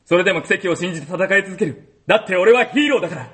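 A man's voice: sits high, expressive, rapid-fire, fairly bright tone. A man in an amateur radio drama, reading a dramatic trailer in a theatrical voice. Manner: theatrical, dramatic trailer delivery, laughs at flubs. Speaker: voice actor.

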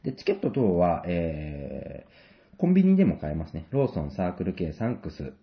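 A man with a very low voice that moves up and down a lot. He chats casually as a guest plugging a show, teasing and joking between announcements. The audio is a little dull and lo-fi. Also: huge pitch swings, normal speed. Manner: playful, joking, bantering. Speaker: guest, stage actor promoting a play.

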